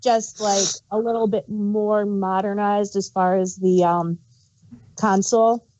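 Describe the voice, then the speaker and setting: A woman's voice: mid-range voice, steady tone, takes it slowly, dark tone, dry recording. A woman co-hosting a podcast, chatting casually and giving her opinions as a fan, on dry, slightly dull audio. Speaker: podcast co-host.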